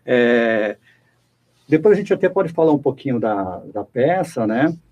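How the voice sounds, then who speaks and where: A man's voice: mid-range voice, lively intonation, measured pace, a little mellow, highs cut off. A Brazilian man hosting a conversational interview in a radio studio. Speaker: radio host interviewing a guest.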